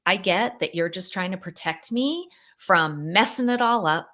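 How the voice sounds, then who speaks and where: A middle-aged woman explains psychology on a podcast in a lively, conversational way. Her voice sits somewhat low for a woman, and she peppers her talk with casual fillers. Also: unhurried. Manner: animated, conversational, many "like" and "you know" fillers. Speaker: psychologist and author, guest.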